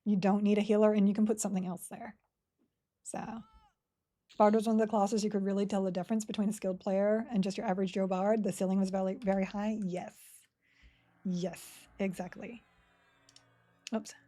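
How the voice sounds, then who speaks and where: Chatty woman streamer with a mellow voice. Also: medium pitch, steady tone, fairly quick, long pauses. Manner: chatty, rambling, giggly, reads chat aloud. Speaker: game streamer. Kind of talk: casual live-stream chat while playing.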